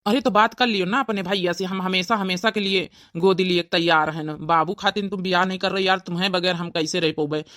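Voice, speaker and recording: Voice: medium pitch, fairly even intonation, a little fast, hardly any pauses, fairly bright tone, no echo, studio quality, natural voice. A performer playing an earnest, devoted young village man with an Awadhi accent in a family cartoon. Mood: pleading, earnest. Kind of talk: family drama cartoon dialogue.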